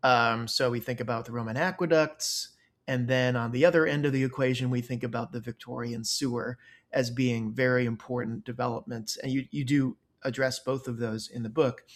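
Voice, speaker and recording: A man's voice: medium pitch, steady tone, moderate pace. Man host asking a thoughtful question. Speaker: podcast host. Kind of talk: interview question.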